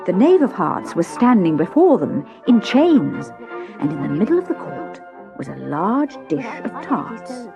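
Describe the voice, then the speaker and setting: A woman's voice: mid-range voice, big pitch swings, unhurried, gravelly, lo-fi sound. A woman, reading a children's storybook expressively. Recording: cassette storybook with faint music.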